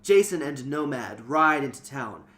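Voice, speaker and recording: A man's voice: medium pitch, lively intonation, a little slow, a bit bright. A man reading a fantasy novel aloud as narrator, in an informal scripted storytelling style. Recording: noticeable background noise.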